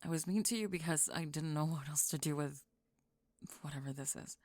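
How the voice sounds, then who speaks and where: A woman playing a cool, blunt teenage bully girl with a wry, deadpan, teasing manner in an ASMR audio roleplay. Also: deep voice, a little fast, dry recording. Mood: sincere.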